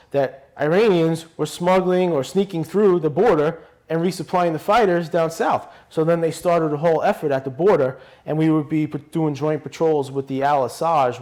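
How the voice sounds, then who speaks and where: A man's voice: on the high side, darkish tone. Blunt New man telling war stories. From Brooklyn, New York. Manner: storytelling, blunt and loose, with frequent restarts. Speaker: podcast guest, retired police officer. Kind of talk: casual interview conversation.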